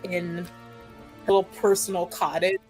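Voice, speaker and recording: Slow-paced woman narrating casually. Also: fairly low pitch, little pitch movement, darkish tone, faint music behind, poor audio. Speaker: tabletop roleplay player. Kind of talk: improvised, casual game narration.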